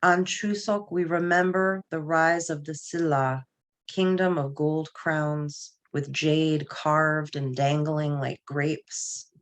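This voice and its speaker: A woman poet with a low voice gives a reading of her work. Her delivery is very slow and solemn, each phrase set down deliberately. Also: steady tone. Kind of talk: poetry reading with brief spoken introductions.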